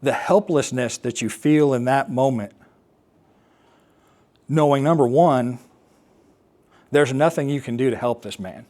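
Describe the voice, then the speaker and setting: An American man giving a personal talk, recounting his experience as an air traffic controller in a halting, explanatory way with pauses. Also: medium pitch, a little mellow. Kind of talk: personal storytelling talk to an assembly.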